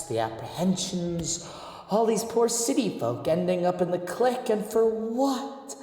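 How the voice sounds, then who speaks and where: A woman playing a motherly but dangerous lycan mercenary in an intimate roleplay audio drama, teasing in a slow purr. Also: low-pitched, warm tone. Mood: musing, concerned. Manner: slow, teasing, purring endearments. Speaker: amateur voice actor. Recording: echoey reverb with music underneath.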